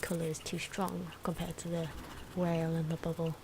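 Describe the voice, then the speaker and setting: A soft-spoken woman with a fairly low voice talks through her drawing as ASMR. Her delivery is hesitant and unpolished. The recording has clear noise, including the sounds of pencils and markers. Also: completely flat delivery, moderate pace. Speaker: artist narrating own drawing video.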